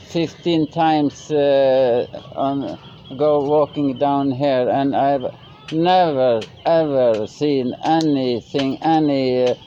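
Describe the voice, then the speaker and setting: A man's voice: medium pitch, mellow, slight background noise. Middle-aged Norwegian man, non-native English, hesitant restarts, dull outdoor recording. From Norway. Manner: halting, searching for English words with many restarts. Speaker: vlogger. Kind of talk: casual chat. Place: outdoors by a river.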